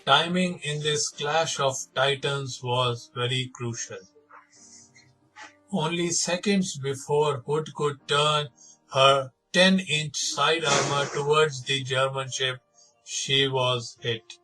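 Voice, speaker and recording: Male narrator, Indian accent, bright forceful tone, explanatory documentary style, music underneath. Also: mid-range voice, fairly even intonation, poor audio. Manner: switches between measured reading and rapid explaining. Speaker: presenter retelling a documentary. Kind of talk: scripted narration mixed with conversational explanation. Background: background music.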